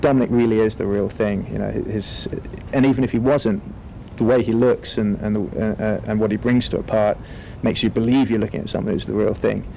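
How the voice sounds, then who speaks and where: A man talking as a film director in a relaxed, wordy, articulate interview. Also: lowish voice, brisk, vocal fry, hardly any pauses, background noise.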